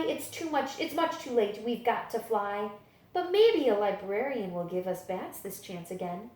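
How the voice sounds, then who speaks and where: A woman reading children's picture books aloud as a story-time librarian, with dramatic, expressive storytelling. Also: high voice. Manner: dramatic, animated storytelling delivery. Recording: echoey room.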